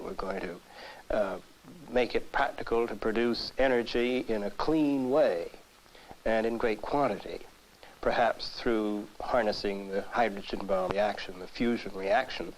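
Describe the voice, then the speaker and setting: A man giving a thoughtful, deliberate interview answer as a scientist, pausing and hesitating as he explains. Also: lowish voice. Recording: faintly noisy 1960s film soundtrack.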